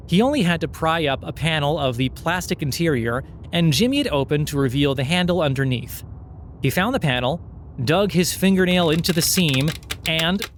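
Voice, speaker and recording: Male narrator for satirical audio fiction, fairly high voice, sardonic and mock-earnest, faint vehicle ambience behind. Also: slight background noise.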